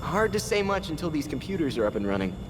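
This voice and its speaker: Game sidekick: youthful, fairly high voice, animated, earnest, analytical, music behind. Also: male voice, fast, noisy background. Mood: earnest, thoughtful. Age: young adult. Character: earnest, analytical youngest male vigilante, eager to cooperate. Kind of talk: video game cutscene acting.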